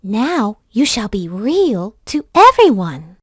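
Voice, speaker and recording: A woman's voice: a little high, sing-song, takes it slowly, fairly bright tone, slightly rough, dry recording, clean studio sound, exaggerated voice. A woman voicing a kindly, magical nursery fairy in a children's story, reassuring and a little grand.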